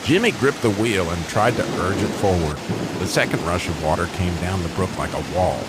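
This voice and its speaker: A man's voice: deep voice, over loud music, low-quality recording. A man narrating a scripted radio drama as a dramatic storyteller. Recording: clear rain and rushing water noise behind.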